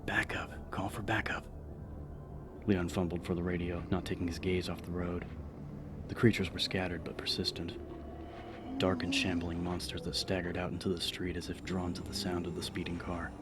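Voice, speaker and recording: Very deep male horror audiobook narrator. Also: monotone, a little fast, background noise. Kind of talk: dramatic scripted narration of horror fiction.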